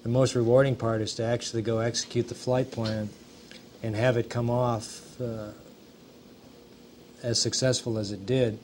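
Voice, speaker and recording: American guy at a press conference, pretty flat delivery, earnest, faint hiss on old tape. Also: mid-range voice, normal speed, pauses often. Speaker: astronaut, crew member. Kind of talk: answering questions at a news conference. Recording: hissy archival 1980s press conference audio.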